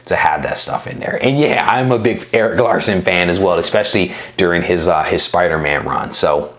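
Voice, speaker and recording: A man in his forties with a deep, low voice hosts a casual livestream. He talks in a relaxed, meandering way, full of fillers and restarts as he answers chat comments. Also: normal speed. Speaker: comic collecting channel host.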